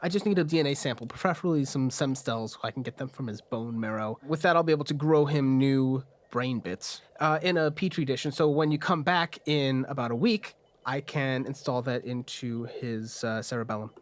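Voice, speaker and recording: A man's voice: mid-range voice, fairly quick, hardly any pauses, close to an everyday voice. A man voicing a shady, businesslike cybernetics surgeon in a tabletop game, matter-of-fact about the work.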